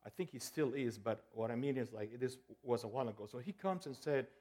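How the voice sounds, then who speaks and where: A man's voice: medium pitch, brisk, darkish tone. Guy guest preaching, jokey and chatty, keeps restarting and going "okay?". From El Salvador. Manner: playful, many restarts and "okay?" checks. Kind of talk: joking conversational sermon.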